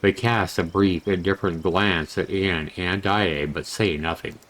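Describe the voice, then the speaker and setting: A man with a fairly low voice narrates a visual novel. His delivery is very slow and measured, like a dramatic storyteller reading text aloud. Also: fairly even intonation, fairly bright tone. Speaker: game reviewer narrating a visual novel playthrough.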